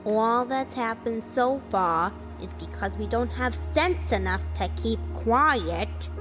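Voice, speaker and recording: A woman's voice: on the high side, lively intonation, takes it slowly, music in the background, low-quality recording. A woman playing a cynical, defiant survivor of an alien invasion in a radio play, with blunt, folksy, clipped phrasing. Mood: bleak, blunt. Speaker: actor in an amateur radio drama.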